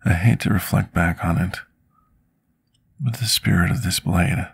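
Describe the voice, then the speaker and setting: A man playing a scarred, remorseful potion master in an intimate ASMR roleplay, speaking formally and deliberately like someone confessing his past. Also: low-pitched, fairly even intonation, vocal fry, a little hiss or hum, exaggerated voice. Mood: earnest, reflective. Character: scarred male potion master, devoted, remorseful, soft-spoken servant.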